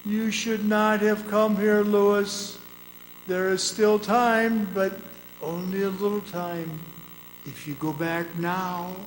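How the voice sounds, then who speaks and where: A man playing the solemn, forbidding ghost of a murdered man in a radio drama, speaking deliberately. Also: high voice, fairly even intonation. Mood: stern warning. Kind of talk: old-time radio drama acting on stage. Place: performing arts center hall. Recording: echoey hall, faint noise.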